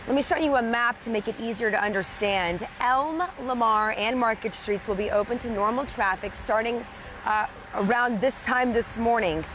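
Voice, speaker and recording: A woman's voice: fairly high pitch, normal speed. A woman giving a live television news report outdoors at a downtown intersection. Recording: noisy outdoor street with traffic.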